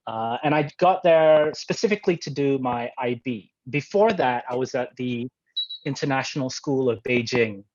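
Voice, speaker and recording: A man's voice: mid-range voice, normal speed, darkish tone, a little hiss or hum. A man answering interview questions over a video call, hesitant and reflective with many fillers. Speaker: interview guest. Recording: dry, dull video-call audio.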